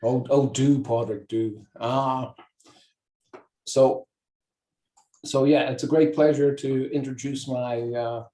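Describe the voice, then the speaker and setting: A mellow, dark-voiced Irish man introduces a seminar speaker in a chatty way full of small fillers. His voice rings in a roomy, echoey space. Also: medium pitch, steady tone, slow pace, long pauses. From east of Ireland. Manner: warm, chatty, hesitant with fillers. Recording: echoey room.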